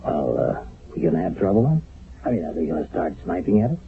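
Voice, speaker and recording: An actor playing a stern, skeptical wartime army captain in a radio drama, commanding, clipped and sardonic. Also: male voice, fairly low pitch, wildly swooping pitch, quick pace, creaky, husky, full character voice. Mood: questioning, terse. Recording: narrow, phone-like vintage radio broadcast.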